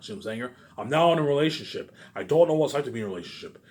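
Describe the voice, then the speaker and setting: A man's voice: medium pitch, animated, rapid-fire, darkish tone. A man delivering a rambling, casual monologue, repeating himself emphatically, swearing and often tacking on questions for agreement. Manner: repetitive, emphatic, swearing, frequent tag questions.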